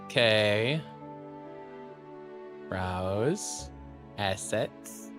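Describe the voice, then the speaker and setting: A young man streaming live, chatting casually; he is excitable, laughs often and is losing his voice. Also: fairly low pitch, drawn-out words, music in the background. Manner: excitable, laughs often, repeats words in bursts.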